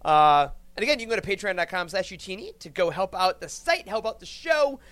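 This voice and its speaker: A man's voice: high voice, very animated, brisk, a bit bright. A man hosting a podcast in a casual, chatty style with a jokey, effusive manner. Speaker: podcast host. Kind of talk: casual upbeat chat.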